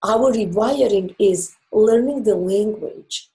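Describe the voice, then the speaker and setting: A woman's voice: medium pitch, dark tone, rough recording. A woman with a Croatian accent, a native Croatian speaker, giving relationship advice as an interview guest in an earnest, explaining way. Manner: earnest and explanatory, with emphatic pauses.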